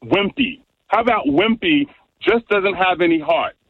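A man's voice: high-pitched, expressive, a little slow, no echo. A man with a New York accent calling into a sports show, boasting and trash-talking about his team. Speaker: caller. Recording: tinny dry phone line.

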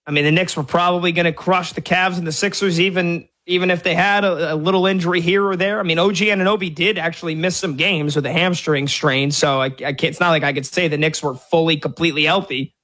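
A man's voice: on the high side, steady tone, quick pace, hardly any pauses, sharp tone. A man hosting a sports talk radio show, arguing his point through a stream of rhetorical questions. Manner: argumentative, fires off rhetorical questions.

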